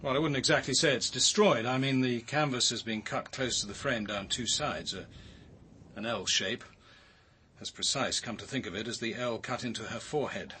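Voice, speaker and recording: Male actor as composed, articulate detective, radio drama. Forceful, bright tone at medium pitch, exact wording. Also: slight background noise, natural voice. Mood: measured, observant. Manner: measured, precise, articulate. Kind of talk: acted British radio drama dialogue.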